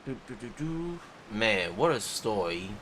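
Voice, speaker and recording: A man's voice: lowish voice, very animated, measured pace, fairly bright tone, a little hiss or hum. A man giving laid-back, casual reactions as he livestreams a video game.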